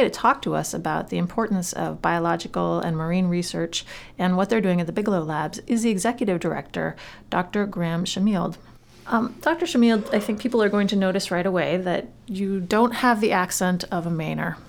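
A woman's voice: on the low side, brisk, creaky. A woman hosting a talk show, interviewing in a conversational style.

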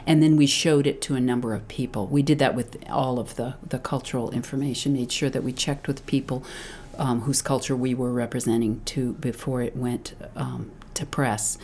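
A woman who illustrates children's books, talking thoughtfully in an interview, often pausing, restarting and laughing. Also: very deep voice, fairly even intonation, darkish tone, a little hiss or hum. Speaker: children's book author and illustrator. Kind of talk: reflective interview talk.

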